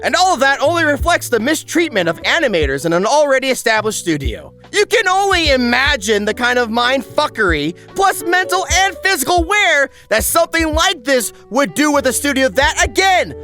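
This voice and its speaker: High, bright-voiced video essayist, very lively, sarcastic and sweary, music underneath. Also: moderate pace, few pauses. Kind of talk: energetic scripted video essay narration with sponsor read. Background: background music.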